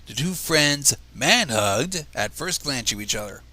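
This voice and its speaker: A man's voice: medium pitch, lively intonation, a little slow, pushed and bright. A man reading a fan fiction story aloud on a livestream and breaking off for mocking asides.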